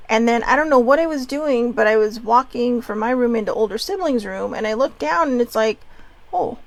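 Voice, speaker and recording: A woman's voice: on the high side, rough recording. A woman from Hawaii in her thirties, doing a casual oracle card reading from home, chatty and rambling with frequent asides.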